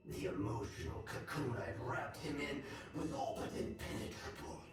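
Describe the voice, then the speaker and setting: An actor playing a sinister, gloating nightmare monster in a video game, with a theatrical, taunting manner. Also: male voice, medium pitch, big pitch swings, normal speed, close to an everyday voice. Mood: gloating, menacing. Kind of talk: video game villain acting. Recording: cavernous echo, distant, music behind.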